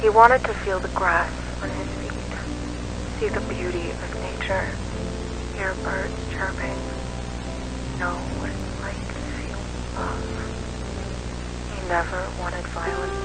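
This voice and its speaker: A woman playing a grieving, vengeful woman in a dark amateur audio drama, solemn and accusing in her delivery. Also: lowish voice, animated, takes it slowly, long pauses, low-quality recording. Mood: quiet, mournful.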